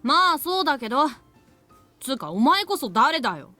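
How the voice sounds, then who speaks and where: Cocky young boy, sharp bright voice, rapid-fire, brusque, rude, visual novel acting, music behind. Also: sits high, sing-song, no echo, heavily acted. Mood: sullen, suspicious. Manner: brusque, arrogant, rough boyish speech. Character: cocky, rude, short schoolboy, bento shop owner's son. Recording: game audio with music behind.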